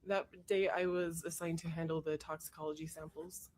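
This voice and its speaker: A woman testifying as a witness in a courtroom, hoarse from a cold, giving careful, matter-of-fact answers. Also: deep voice, little pitch movement, a little fast, a little mellow. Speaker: trial witness, forensic technician.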